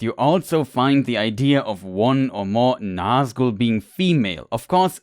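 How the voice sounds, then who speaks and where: A man's voice: medium pitch, a little mellow, no room sound, studio quality. Man explaining fantasy lore. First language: German. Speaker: lore video creator. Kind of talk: scripted lore narration.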